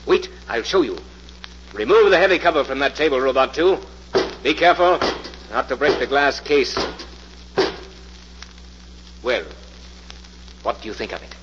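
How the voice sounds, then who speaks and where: An actor playing a cruel, commanding, megalomaniac professor in a pompous, declamatory 1940s radio thriller style. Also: male voice, mid-range voice, animated, normal speed, pauses often, natural voice. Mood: proud. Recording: muffled, noisy old radio recording.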